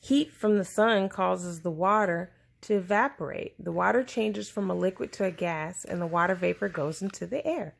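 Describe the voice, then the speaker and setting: A woman reading a children's science picture book aloud, phrasing it simply and deliberately for a young listener. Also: fairly low pitch, creaky.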